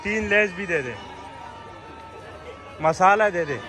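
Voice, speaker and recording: A man's voice: high-pitched, animated, takes it slowly, a crowd in the background. A young man vlogging casually from crowded stadium stands, chatting and haggling with vendors on a handheld phone. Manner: chatty, bargaining with vendors, laughing often. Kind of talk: casual vlog chat.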